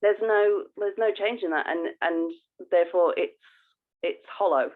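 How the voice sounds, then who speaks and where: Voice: medium pitch, a little slow, phone-quality sound. Effusive podcast co-host on thin call audio. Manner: effusive, thinking aloud. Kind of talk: casual podcast chat.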